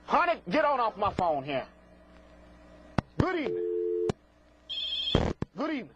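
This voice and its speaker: A man's voice: extremely high pitch, huge pitch swings, unhurried. A man with a sassy, scolding, theatrical manner and folksy colloquial speech, hosting a live call-in show and repeating catchphrases. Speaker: host of a live phone-in psychic show. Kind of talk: live call-in TV talk with prank callers. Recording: muffled lo-fi broadcast with clear noise, clicks.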